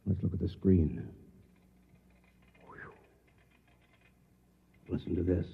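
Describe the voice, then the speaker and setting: An actor playing a determined, alarmed ordinary man in mid-century American radio drama, with a husky, urgent, earnest delivery. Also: deep voice, lively intonation, takes it slowly, full character voice. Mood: tense discovery. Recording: muffled, reverberant old radio recording.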